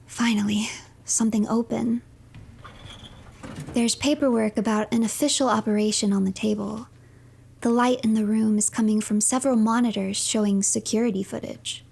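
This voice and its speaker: A woman reads scripted game narration in storybook fashion. Her voice is on the high side, husky with breath and edged with creak, often sinking near a whisper. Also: steady tone, moderate pace, darkish tone.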